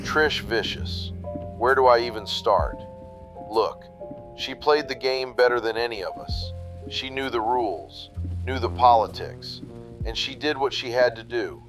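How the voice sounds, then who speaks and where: A mid-pitched male voice of an actor as a jaded veteran wrestler. He sounds bitter and slyly amused, confiding in noir radio drama style over faint guitar music. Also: a little slow, natural voice. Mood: bitter, half amused. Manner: low-key, bitter, insinuating. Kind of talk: noir audio drama monologue.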